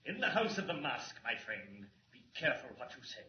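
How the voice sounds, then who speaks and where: Cold masked villain played by a man, imperious, measured but widely swinging delivery, echoey old radio serial. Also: mid-range voice, sing-song, lightly acted. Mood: cold menace. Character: menacing male masked criminal mastermind, commanding and cold. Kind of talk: 1940s radio serial acting. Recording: old muffled radio recording, roomy echo, hiss.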